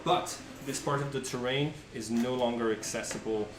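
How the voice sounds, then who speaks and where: A man working as game master, improvising tabletop narration in a halting way as he searches for words. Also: mid-range voice, background noise. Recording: roomy, distant mic, music behind. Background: music.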